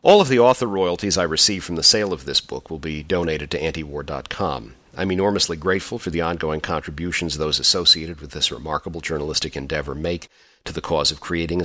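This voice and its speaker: A man reading scripted audiobook narration in a formal, measured way. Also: a bass voice, bright, forceful tone, no echo.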